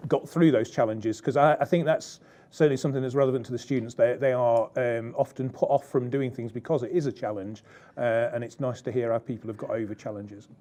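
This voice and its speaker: A man with a mellow, relaxed tone hosts an interview. He asks his questions hesitantly, filling pauses with ums and agreeable asides. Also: medium pitch.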